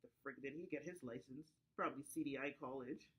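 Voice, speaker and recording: A woman's voice: rumbling low, quick pace, fairly bright tone, slightly rough, full character voice, rough recording. A woman playing a distraught mother in crisis who rambles emotionally in breathless run-on sentences during a counseling role-play. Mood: ranting. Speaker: role-played counseling client.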